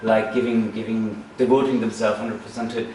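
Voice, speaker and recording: A man's voice: fairly low pitch, flat delivery, brisk, warm tone. Man, interview chat, restarts a lot, far from mic, very echoey room, faint hiss. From Germany. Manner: many restarts and repeated words, thoughtful. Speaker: musician interviewed.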